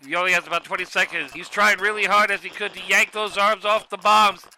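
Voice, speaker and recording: Very bright, high-voiced male fight commentator. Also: fairly quick, a little hiss or hum. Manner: excitable. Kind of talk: scripted play-by-play commentary.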